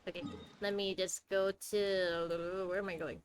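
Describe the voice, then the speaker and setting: A woman's voice: on the low side, flat delivery, a little slow, slight background noise. A woman giving casual, chatty live commentary as she streams a game and reacts to it.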